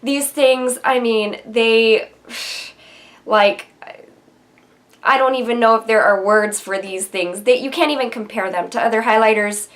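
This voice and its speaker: A woman's voice: a little high, unhurried. A young woman with a nasal voice from a cold, chatting casually to the camera about makeup in her bedroom, with frequent ums. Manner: chatty and enthusiastic, many ums. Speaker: beauty vlogger. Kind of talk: casual product review to camera. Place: bedroom with vanity and shelves, indoors.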